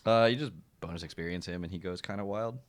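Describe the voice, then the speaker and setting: Lowish-voiced man on a game stream. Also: fast, no room sound. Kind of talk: casual chat during a live stream.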